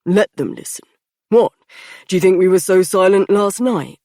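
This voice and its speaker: A smug, teasing young man in a fantasy audiobook, as a woman narrator voices him. He talks low and confident, amused at everything. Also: moderate pace, dark tone, no echo, studio quality, lightly acted.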